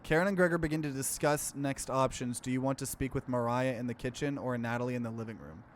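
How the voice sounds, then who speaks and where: A let's play host reading game narration aloud and reacting to it in an animated way. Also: mid-range voice, quick pace, slight background noise. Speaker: gaming video host.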